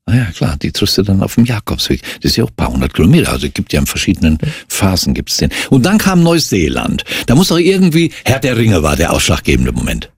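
A man with a slightly low, husky voice hosts a casual interview. His intonation is very animated, rising and dropping freely. He chats informally and reacts with short, surprised exclamations. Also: quick pace, hardly any pauses, no room sound. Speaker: radio interview host.

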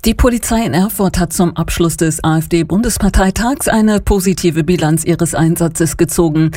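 Female news presenter, standard German, lowish pitch, mellow tone, dry studio sound, scripted reading. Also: normal speed, dry recording, soft background music.

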